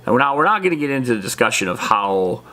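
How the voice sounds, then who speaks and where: A man's voice: mid-range voice, big pitch swings, fast talker, music in the background. A man hosting a casual explainer in an informal, rambling and enthusiastic way, talking straight to viewers. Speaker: host of a tabletop gaming lore video series.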